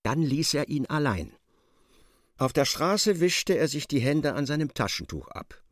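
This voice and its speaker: A man giving expressive, scripted literary narration in a radio play. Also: on the high side, measured pace, dry recording, studio quality.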